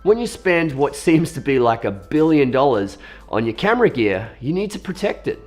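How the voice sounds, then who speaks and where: A young Australian man talking casually to camera about his camera gear, a little hoarse from a cold, chatty and upbeat. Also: a little high, sing-song, normal speed, faint music behind. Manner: chatty, upbeat, with fillers and asides. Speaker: video creator reviewing camera gear.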